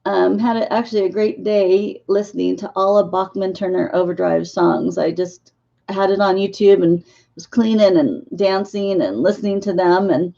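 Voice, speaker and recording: A woman in her fifties, hoarse and losing her voice, talking haltingly about her dream visions as a psychic in a casual monologue to camera. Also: mid-range voice, mellow, muffled recording. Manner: halting, with many filler pauses.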